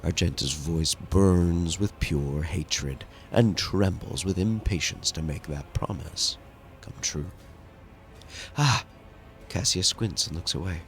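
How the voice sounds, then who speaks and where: A man's voice: low-pitched, unhurried, vocal fry, faint music behind. A man hosting a video game playthrough, reading story narration dramatically and commenting casually on play.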